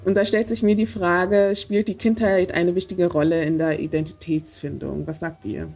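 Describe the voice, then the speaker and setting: A woman's voice: fairly low pitch, steady tone, normal speed, darkish tone, breathy edge, faint music behind. A woman hosting a casual podcast interview, talking with many hesitant fillers. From Germany. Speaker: podcast host.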